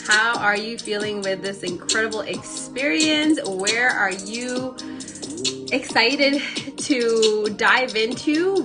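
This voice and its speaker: A young woman, raspy from a cold, hosting a live stream and effusively greeting her viewers by name. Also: on the high side. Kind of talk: live-streamed motivational talk.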